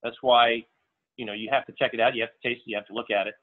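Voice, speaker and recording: A middle-aged man, a veteran restaurant chef, answering interview questions in a halting way with many fillers and repeats. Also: medium pitch, steady tone. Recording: tinny phone-like audio.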